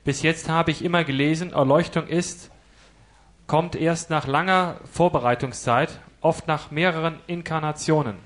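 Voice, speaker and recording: Man, interviewer, measured questions, fairly high voice. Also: a bit bright.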